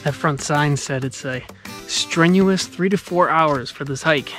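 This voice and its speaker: A man's voice: on the high side, unhurried, music in the background. A young man chatting easily as a travel vlogger, filming himself with a handheld camera on a mountain trail and in a camper van. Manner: easygoing, chatty, self-mocking. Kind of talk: casual travel vlog narration. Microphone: handheld camera at arm's length.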